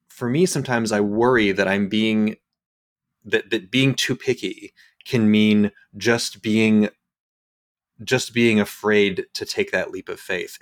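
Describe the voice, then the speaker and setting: Hesitant, low-voiced man in his forties. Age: 40s. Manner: hesitant, repeats words when searching for thoughts. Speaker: podcast host. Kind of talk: reflective casual conversation.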